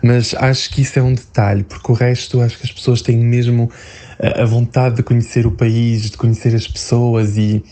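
A man's voice: mid-range voice, little pitch movement, normal speed, dark tone. A young man from Portugal with a European Portuguese accent, chatting as an interview guest, hesitant with many fillers and restarts. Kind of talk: casual interview chat.

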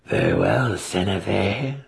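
A man voicing an imperious, shrewd female dark elf matron with a formal, commanding manner in a fantasy audiobook. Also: low-pitched, wildly swooping pitch, slow, gravelly, heavily acted. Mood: imperious, measured. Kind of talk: dramatic fantasy audiobook reading.

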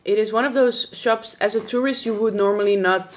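A woman's voice: mid-range voice, fairly quick. A woman chatting casually and teasing as a podcast co-host, swearing now and then. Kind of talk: casual chat. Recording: dull lo-fi sound.

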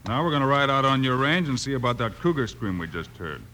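An actor playing a steady, commanding frontier marshal in an old-time radio western, speaking in firm, clipped orders. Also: male voice, medium pitch, fast, slight background noise.

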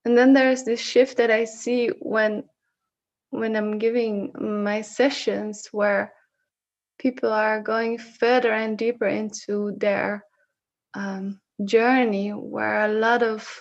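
A woman from the Netherlands with a Dutch accent, sharing personal reflections on a video call in a slow, searching way with frequent restarts. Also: mid-range voice, lo-fi sound. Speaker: session facilitator.

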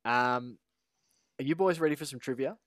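An Australian man hosts a casual fan podcast as its quizmaster. His voice sits at an average pitch in a very dry, close recording. He phrases things in a wordy, mock-formal manner. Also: normal speed, a bit bright, no echo.

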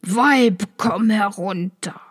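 A woman voicing a cruel, menacing knight who threatens his wife in slow, drawn-out words. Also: medium pitch, breathy edge. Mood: threatening.

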